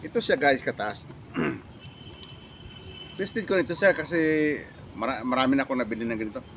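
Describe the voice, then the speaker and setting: A Filipino man giving a casual product demo as a reviewer, talking in a halting, repetitive way with catchphrases. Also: mid-range voice, animated, a bit bright, a little hiss or hum.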